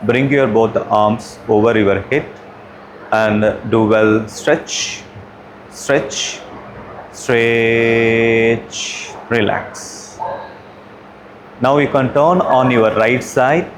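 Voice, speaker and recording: Male yoga teacher, Tamil Indian accent, mid-pitched, calm guided-relaxation delivery with long unhurried gaps, some ambient noise. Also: low-quality recording. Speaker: yoga instructor. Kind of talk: guided relaxation and yoga class instruction in short spaced phrases.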